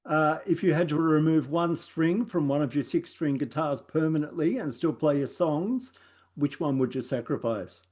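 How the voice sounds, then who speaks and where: A man's voice: a little high, normal speed, darkish tone. An Australian man hosting an interview, asking questions in an easygoing way with many small hesitations. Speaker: interviewer, host.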